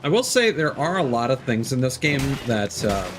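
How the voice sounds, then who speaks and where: A man's voice: mid-range voice, sing-song, normal speed, over music. A man streaming a game, rambling through live commentary and correcting himself often, with casual swearing. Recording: noisy background.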